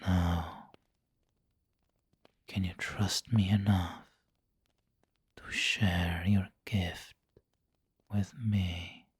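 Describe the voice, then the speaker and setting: A man playing a refined, doting vampire lord in an ASMR roleplay, whispering gently and intimately with seductive, protective care. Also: sits low, level tone, no room sound, heavily acted. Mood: seductive, teasing. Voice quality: breathy, whispery. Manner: slow, gentle, intimate coaxing.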